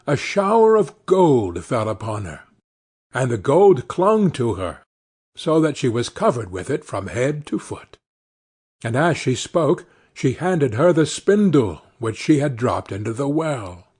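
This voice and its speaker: A man's voice: medium pitch, very animated, unhurried, darkish tone, slightly rough. A man reading a scripted fairy tale as narrator, in storytelling style.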